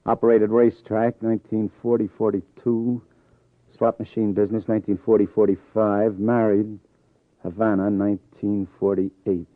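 An American actor playing a wry, slangy, hard-boiled police detective in a vintage radio drama. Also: male voice, on the low side, little pitch movement, normal speed, no room sound, natural voice. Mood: wry, confident. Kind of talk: 1940s-50s American radio drama acting. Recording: tinny, narrow-band vintage radio recording.

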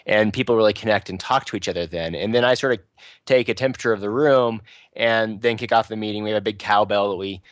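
A man who runs a local programming user group, talking casually in a loose, chatty way with lots of fillers and restarts, and a fairly loud voice. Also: lowish voice, fast talker, no echo. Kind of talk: casual podcast panel talk.

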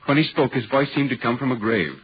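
Inquisitive reporter, expressive old radio voice. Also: male voice, mid-range voice, quick pace, slightly rough. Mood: eerie narration. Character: inquisitive, polite London newspaper reporter recounting an eerie tale. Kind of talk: 1940s radio drama narration and dialogue. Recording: dull, hissy 1940s radio recording.

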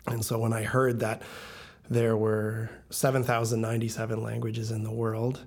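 A man's voice: fairly low pitch, monotone, normal speed, a little mellow. A man in his late forties with a Canadian accent, telling his story earnestly and emphatically as an interview guest. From Ontario, Canada. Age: late 40s. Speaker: interview guest, missions organization president. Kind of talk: conversational interview, personal storytelling.

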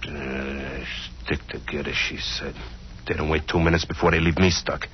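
An actor playing a sullen, grumbling small-time crook with slangy talk, in a 1940s radio drama on a muffled, noisy recording. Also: male voice, very deep voice, fairly even intonation, moderate pace. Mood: grumbling, miffed.

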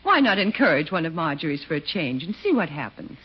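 A woman playing a poised, sensible, gently teasing lady friend in a 1940s radio comedy, genteel and composed. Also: deep voice, big pitch swings, quick pace, slightly rough, exaggerated voice. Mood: amused, advising. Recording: dull, noisy vintage radio recording.

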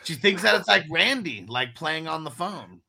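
A man with a somewhat high, very bright voice leads a casual film discussion. He sounds like a podcast host steering the conversation among friends. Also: lively intonation, moderate pace, vocal fry. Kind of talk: casual movie review chat.

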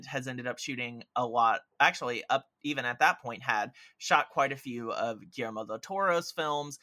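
A man's voice: medium pitch, sharp tone. A man chatting casually about films as a podcast guest, opinionated, emphatic and effusive, with rambling asides. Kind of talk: casual film chat.

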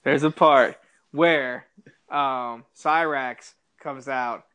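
A man's voice: mid-range voice, steady tone, drawn-out words, a bit bright, clean tone. A man co-hosting a casual pop-culture podcast, ranting in an exasperated, mocking, emphatic way with swearing. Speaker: podcast co-host.

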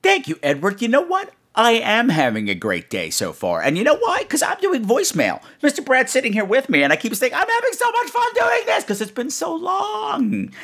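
A man's voice: extremely high pitch, wildly swooping pitch, moderate pace, vocal fry, few pauses, a bit bright, breathy edge. A man hosting a podcast in a casual, chatty way, playful and exclamatory, often repeating phrases for effect. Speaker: podcast host.

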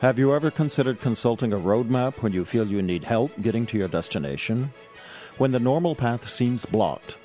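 Male promo reader, lowish and lively in pitch, scripted pitch over soft music. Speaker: astrologer advertising his service.

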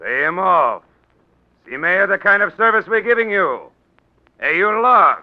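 An actor playing a tough, bullying big-city political boss in 1940s radio style, gruff and sardonic, talking in gangster slang. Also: male voice, sits high, very animated, a little slow. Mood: mocking, amused. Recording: dull 1940s radio recording.

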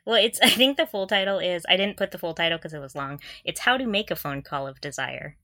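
A woman's voice: lowish voice, lively intonation, a little fast, pushed and bright, dry recording, clean studio sound. A woman co-hosting a casual fan podcast, bantering in a mock-serious, giggly way. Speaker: podcast co-host.

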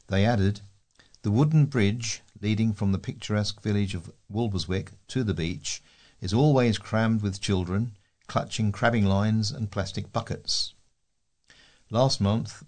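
A man's voice: lowish voice, a little mellow. A man with a British accent reads local news articles aloud as a volunteer newsreader.

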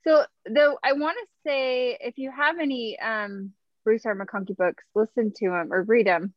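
A woman talking candidly in an online group over a video call, her voice a bit higher than most. The audio is dull and lo-fi, and the sound is dry. She rambles easily, with plenty of fillers. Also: moderate pace, dry recording. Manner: candid, chatty, many fillers. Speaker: discussion group member. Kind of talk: casual online group chat.

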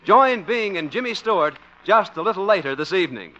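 Bright, high-voiced male radio announcer. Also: lively intonation, a little fast. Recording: muffled old radio recording.

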